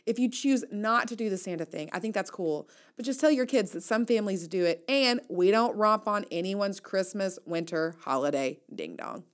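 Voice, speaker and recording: A woman's voice: medium pitch, animated, moderate pace, few pauses. An American woman in her thirties hosting a solo podcast in a casual, confiding way, with wry, playful and emphatic asides. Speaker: podcast host and newsletter writer.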